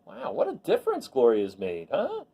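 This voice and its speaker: Highly animated man reading a storybook. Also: moderate pace, gravelly, husky. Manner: animated, emphatic, dramatizing key words. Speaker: storyteller reading a picture book aloud. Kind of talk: expressive read-aloud with chatty asides to listeners.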